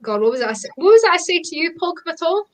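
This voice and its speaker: A woman's voice: very high voice, big pitch swings, racing. A Scottish woman whose first language is Scottish Gaelic, chatting as a guest, laughing often and eagerly explaining words. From Scotland. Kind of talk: casual video call chat.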